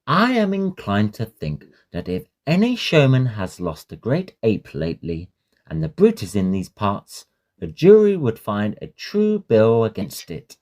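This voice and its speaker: A man's voice: fairly low pitch, darkish tone. A man playing a chatty young Victorian Oxford student in an audio drama, jaunty and full of old-fashioned slang.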